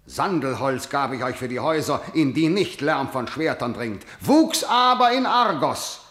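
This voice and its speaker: A man's voice: medium pitch, animated, unhurried, a bit bright. An actor playing a harsh, domineering ruler who scorns his elders, commanding and scornful in classical verse. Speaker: king. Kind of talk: classical verse radio drama. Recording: roomy studio echo.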